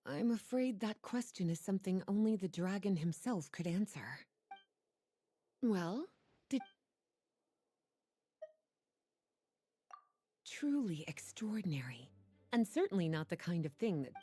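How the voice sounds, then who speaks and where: Breathy, playful sorceress-librarian. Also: female voice, mid-range voice, sing-song, moderate pace, mellow. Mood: amused, confiding. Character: poised, playful woman sorceress-librarian, lazily teasing and knowing. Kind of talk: video game character dialogue.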